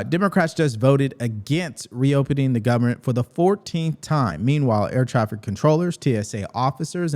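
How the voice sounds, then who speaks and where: A man's voice: medium pitch, a little mellow. American man hosting political commentary. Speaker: host of a live political commentary show.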